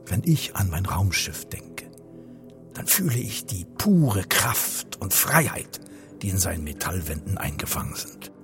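An actor playing a pompous, macho spaceship commander in a German radio play, with grandiose, declamatory delivery. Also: male voice, low voice, big pitch swings, measured pace, over music. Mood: grandiose, reflective.

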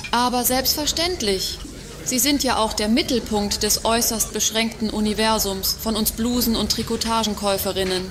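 A woman's voice: a little high, moderate pace, close to an everyday voice. A woman playing an indignant female shopper in a satirical radio play, with a sardonic, formal way of talking. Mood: sarcastic. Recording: clear background ambient noise.

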